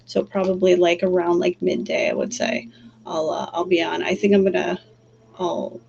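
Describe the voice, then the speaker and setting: A woman hosting a livestream who talks softly and calmly, pausing often with fillers, before leading a guided breathing exercise. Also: fairly low pitch, vocal fry. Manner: soft, calm, halting with many fillers. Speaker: livestream host and energy healer. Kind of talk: casual livestream chat, then guided breathing. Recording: dull lo-fi stream audio with faint hum.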